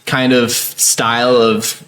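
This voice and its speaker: A man's voice: medium pitch, completely flat delivery, takes it slowly, a bit bright, poor audio. A man co-hosting a video game podcast, easygoing and agreeable, laughing often as he chats. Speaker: podcast co-host. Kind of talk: casual game review chat.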